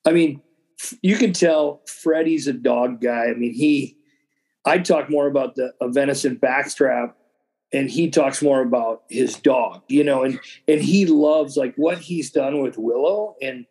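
A man's voice: medium pitch, measured pace, darkish tone, poor audio. A man chatting casually as a podcast guest, telling anecdotes and acting out bits of dialogue as he goes. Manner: acts out quoted dialogue, conversational.

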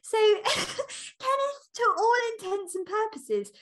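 A woman co-hosting a podcast, chatting casually about books in an expressive, chatty, giggly manner. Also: extremely high pitch, slow pace. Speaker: podcast co-host. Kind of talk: casual book discussion chat.

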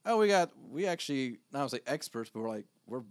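A man co-hosting a podcast with a low-toned voice, talking in a measured, reflective way. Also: sing-song, no echo, studio quality. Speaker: podcast co-host, wedding photographer. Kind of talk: casual podcast chat.

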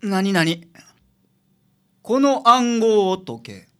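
A man playing a gruff, teasing detective boss with a Kansai accent in a radio drama. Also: sits high, very animated, slow pace, sharp tone, heavily acted. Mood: businesslike.

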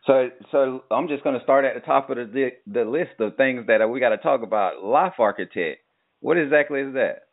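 A man's voice: medium pitch, moderate pace. A man hosting a hip hop show, talking in a laid-back, friendly way full of slang. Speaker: podcast host. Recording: tinny phone-like line.

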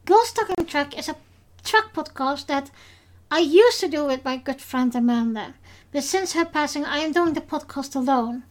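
A woman with a high-pitched voice and a Dutch accent hosts a podcast in English. She talks casually and hesitantly, pausing often with fillers and restarting her sentences. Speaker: podcast host.

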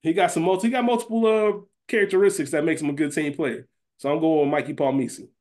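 A man's voice: on the high side, fairly even intonation, fast, a little mellow. A man co-hosting a podcast, chatting casually in colloquial, slangy language. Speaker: podcast co-host. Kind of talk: casual chat.

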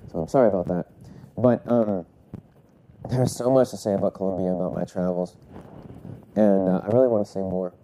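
A man's voice: deep voice, fairly quick, long pauses, mellow, a little hiss or hum. A man recovering from a cold, congested and coughing, rambling casually through his solo podcast with many fillers and self-corrections. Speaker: podcast host.

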